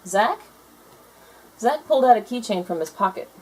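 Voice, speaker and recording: A woman reading a children's storybook aloud in expressive narration. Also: a little high, fast talker, husky, rough recording.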